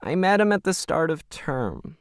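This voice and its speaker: A man's voice: fairly high pitch, big pitch swings, normal speed, clear, resonant tone, no room sound, clean studio sound, pitched above the performer's own voice. A man voicing an earnest, upset teenage daughter in an audio story, pleading and tearful. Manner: tearful, protesting. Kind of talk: audio fiction character dialogue.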